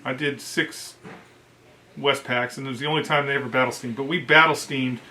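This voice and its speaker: A middle-aged American man, a veteran, casually telling profanity-laced service stories in an animated way, acting out what others said. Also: medium pitch, a little fast, a little hiss or hum, low-quality recording. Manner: animated, profane, acts out quoted dialogue. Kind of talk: casual anecdotal storytelling.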